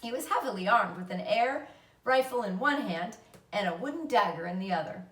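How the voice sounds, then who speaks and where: A woman's voice: mid-range voice, animated, a little fast, fairly bright tone. A woman narrating a children's story aloud like a teacher reading to a class. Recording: roomy echo, distant mic.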